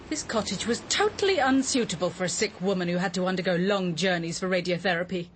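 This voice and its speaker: A woman's voice: on the low side, animated, fast talker, slight background noise, lightly acted. An actress playing a hard, unyielding British landlady in a radio drama, speaking in a clipped, formal, disapproving way. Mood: cold, scornful.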